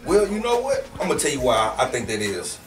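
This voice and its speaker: A man's voice: mid-range voice, wildly swooping pitch, fairly quick, reverberant, recorded from a distance, music in the background. A man from Houston, a rapper being interviewed, talking loosely and casually with lots of fillers. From Houston, Texas. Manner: laid-back, slangy, with many fillers. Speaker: guest rapper.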